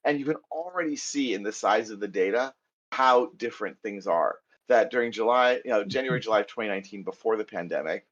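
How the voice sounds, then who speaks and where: A middle-aged American man with a husky, fairly low voice runs a live coding lesson. He talks in a chatty, self-deprecating way and thinks aloud while typing. The sound is muffled, lo-fi call audio. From United States. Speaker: programming instructor.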